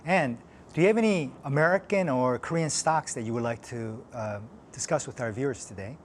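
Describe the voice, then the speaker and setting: A man's voice: mid-range voice, a little fast, a little hiss or hum. A man hosting a TV interview, reading prepared questions in a polite, formal manner. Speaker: TV interview host.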